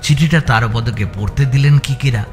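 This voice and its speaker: A man's voice: mid-range voice, moderate pace, faint music behind. A man reading scripted story narration as an audiobook narrator.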